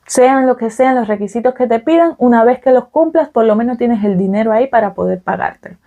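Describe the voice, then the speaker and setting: Woman, Cuban accent, young adult, slightly high pitch, chatty vlog explainer, fillers. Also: a little fast, warm tone, highs cut off. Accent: Cuban Spanish. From Cuba. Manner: conversational, explains with fillers and "ok?" check-ins. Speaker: vlogger. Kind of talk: casual vlog talk to camera.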